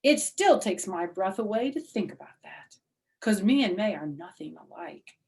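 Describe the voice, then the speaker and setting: An older American woman reads a children's story in a mid-pitched voice. Her delivery is expressive, and she breaks off for chatty asides that explain things simply to young listeners. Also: normal speed, creaky. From United States. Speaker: storyteller, former teacher.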